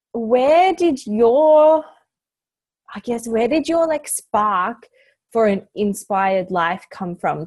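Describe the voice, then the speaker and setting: A woman's voice: medium pitch, no echo. Woman podcast host, casual and chatty, fillers everywhere, dry close mic. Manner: warm chatty, many fillers like "like" and "you know". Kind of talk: casual interview chat.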